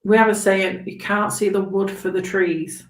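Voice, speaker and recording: A woman giving a spontaneous live tarot reading in a halting, reassuring, conversational way, with a slightly hoarse voice. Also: mid-range voice, little pitch movement. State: hoarse after long talking. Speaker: tarot reader hosting a live stream. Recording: roomy, echoing room sound.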